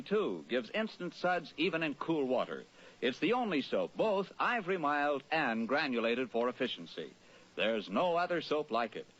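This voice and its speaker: A man with a husky voice delivering an old-time scripted radio sales pitch. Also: a bit bright. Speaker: commercial announcer. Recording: muffled old radio recording.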